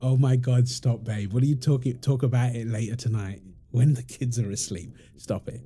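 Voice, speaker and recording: A man playing a playful, affectionate young father in an intimate roleplay audio, chatting casually and softly as he teases. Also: medium pitch, steady tone, normal speed, close to an everyday voice. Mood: flustered, amused. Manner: teasing, soft-spoken, chuckling, informal.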